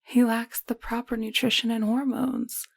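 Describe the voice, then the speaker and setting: A woman playing a caring, level-headed alien medic in an intimate audio roleplay, speaking gently and explaining things. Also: a little high, flat delivery, moderate pace, creaky, dry recording. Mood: thoughtful, explaining. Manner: gentle, explanatory, hushed at times.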